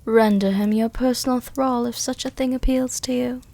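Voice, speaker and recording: A woman voicing a tender, seductive, protective vampire countess in a soft-spoken ASMR roleplay, breathy and often whispering, soothing and gently commanding. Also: fairly high pitch, steady tone, normal speed. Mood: tender, coaxing.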